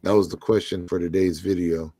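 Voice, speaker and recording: A man's voice: low voice, steady tone, a little fast, mellow, no echo, clean studio sound. A man hosting a casual community talk show, congested and losing his voice, laid-back and laughing easily. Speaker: show host. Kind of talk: casual chat.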